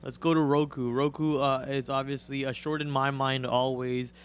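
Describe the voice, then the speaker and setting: A raspy young man hosting a live stream, talking through his trades and calling out prices as they move. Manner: rapid excited play-by-play, gets loud and high when a stock moves. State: raspy voice from a cold. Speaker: day trader and co-host. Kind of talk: live trading commentary.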